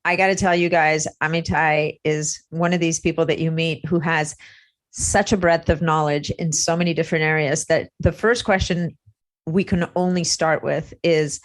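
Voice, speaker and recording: Chatty woman podcast host, lowish voice. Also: fairly even intonation, normal speed. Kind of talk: podcast interview.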